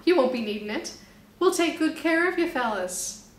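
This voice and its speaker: A woman's voice: high-pitched, lively intonation, normal speed, roomy sound, distant mic, pitched above the performer's own voice. A woman voicing a scheming male snake vagrant who hisses and wheedles. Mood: wheedling. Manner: hissing, wheedling.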